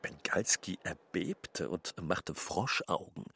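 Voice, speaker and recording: A man's voice: low-pitched, lively intonation, slow pace, bright, forceful tone, breathy edge. A man narrating a literary radio drama in a wry, colourful storytelling manner.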